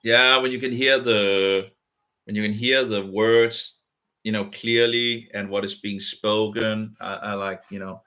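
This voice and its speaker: Halting Danish-accented man on a video call. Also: fairly low pitch, fairly even intonation, sharp tone. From Denmark. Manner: halting, rough speech with restarts. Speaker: video chat participant. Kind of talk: casual video chat.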